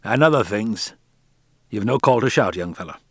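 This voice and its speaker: A man doing a gruff old fishing captain. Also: fairly low pitch, expressive, normal speed, fairly bright tone, dry recording, studio quality, exaggerated voice. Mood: stern. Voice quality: drawling, plain-spoken. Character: gruff older New England fishing captain, stern and slow.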